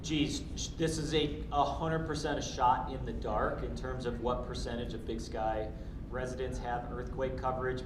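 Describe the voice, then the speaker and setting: A man in his forties giving an informal insurance presentation and taking audience questions in a casual, affirming way. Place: hotel-style conference room. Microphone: handheld microphone. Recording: roomy sound with rumbling background noise.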